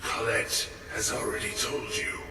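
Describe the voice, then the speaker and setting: Ghostly oracle: low, slow, monotone, grave, drenched in cavernous reverb over game music. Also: male voice, a little hiss or hum. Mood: solemn, ominous. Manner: grave, measured, cryptic. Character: solemn, ghostly disembodied augur of immense magical power. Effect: ethereal reverb. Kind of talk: fantasy video game acting. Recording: cavernous echo, distant, music behind.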